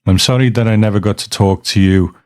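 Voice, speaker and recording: Male podcast host, deep register, conversational linking commentary, very little room sound. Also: fairly even intonation, brisk, a little mellow, no room sound, studio quality. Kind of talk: casual hosted commentary introducing listener reports.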